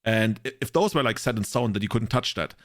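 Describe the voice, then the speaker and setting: A man's voice: fairly low pitch, expressive, fairly quick. Rambling, joking podcast co-host man. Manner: rambling, many "like" fillers, joking. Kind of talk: casual chat.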